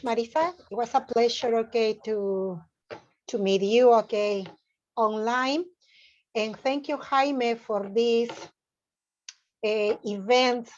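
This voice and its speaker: A woman's voice: fairly high pitch, a little mellow. A woman whose first language is Spanish, a teacher who talks chattily, filling her speech with "okay" and "right". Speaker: teacher, audience participant. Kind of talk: casual thanks and anecdote. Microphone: video call. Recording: dull lo-fi call audio.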